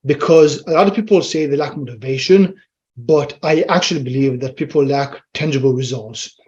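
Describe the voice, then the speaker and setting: An Israeli-accented man speaks as a guest on an interview show, relaxed and conversational. He explains his points plainly and often quotes his own thoughts. His voice sits a little high for a man, and the audio sounds somewhat dull and lo-fi. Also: moderate pace. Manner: easygoing, explains plainly, quotes himself with "I'm like". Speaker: fitness trainer guest. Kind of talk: casual interview chat. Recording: slightly muffled lo-fi audio.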